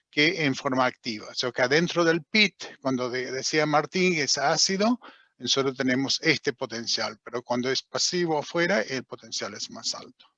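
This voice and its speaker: A man's voice: mid-range voice, bright, forceful tone, no echo, highs cut off. A man giving a technical seminar lecture over slides, speaking Spanish with an accent that is not Argentine and hesitating often with fillers and restarts. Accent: non-Argentine accent in Spanish. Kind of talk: technical webinar talk with slides.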